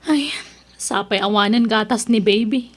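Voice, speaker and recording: A woman's voice: medium pitch, moderate pace, close to an everyday voice. A woman playing a struggling single mother who sells vegetables on the street, plaintive, in an Ilocano-accented audio drama. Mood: tearful, pleading.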